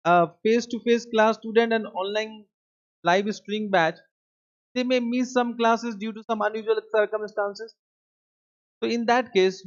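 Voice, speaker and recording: A man with an Indian English accent, a native Hindi speaker, gives a classroom lecture. He often checks in with his students and repeats his key points. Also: sits high. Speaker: teacher. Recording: dull lo-fi lecture recording.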